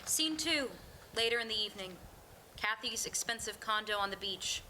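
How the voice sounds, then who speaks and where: A woman with a slightly high voice reads out stage directions as a narrator. The delivery is scripted narration. Clear noise runs behind the live recording. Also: expressive, moderate pace, bright, forceful tone. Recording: noisy live staged reading.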